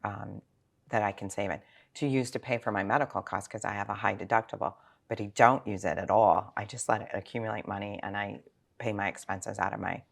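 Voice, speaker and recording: A woman's voice: very deep voice, creaky. A sixty-year-old woman speaking as a financial planner in an interview, explaining things informally with examples and rhetorical questions. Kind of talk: conversational interview answers.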